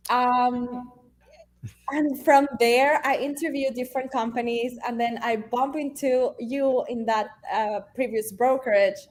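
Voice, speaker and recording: Chatty Venezuelan woman, bright and upbeat. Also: fairly high pitch. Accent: Venezuelan Spanish. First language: Spanish. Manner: upbeat, chatty, many fillers like "honestly" and "um". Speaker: guest, realtor. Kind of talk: casual interview conversation.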